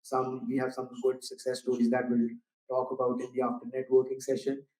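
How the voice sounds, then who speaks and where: A man opens a business seminar with slow, courteous remarks. He sounds distant from the microphone, and the room adds a noticeable echo around his words. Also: mid-range voice, completely flat delivery, dark tone. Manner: polite, measured, with fillers. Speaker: seminar host. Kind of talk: formal seminar introduction. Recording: roomy sound, mic far off.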